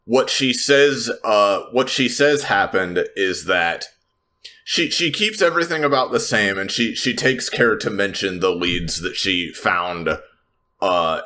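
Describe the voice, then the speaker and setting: Very low, slow-spoken male player. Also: pushed and bright. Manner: hesitant, measured. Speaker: tabletop game player. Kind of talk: improvised third-person roleplay recap.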